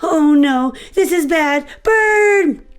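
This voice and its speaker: A woman voicing an excitable, silly squirrel in a storybook, with dramatic exclamations and drawn-out words. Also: sits high, soft background music, pitched above the performer's own voice, heavily acted. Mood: panicked, accusing.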